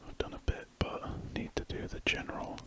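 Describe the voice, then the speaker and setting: A speaker, chatting in a soft, rambling ASMR whisper while drawing. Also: quick pace. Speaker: ASMR drawing channel host.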